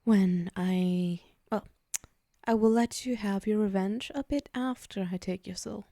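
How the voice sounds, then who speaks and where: A sly, haughty female demon played by a woman bargains for souls in an intimate ASMR roleplay. Her voice is soft-edged and dark, with a leisurely, theatrical delivery full of sighs. The sound is close and very dry. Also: medium pitch, measured pace, dry recording, close to an everyday voice. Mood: impatient, coaxing. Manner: haughty, teasing, sighing, theatrical. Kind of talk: ASMR roleplay monologue to the listener.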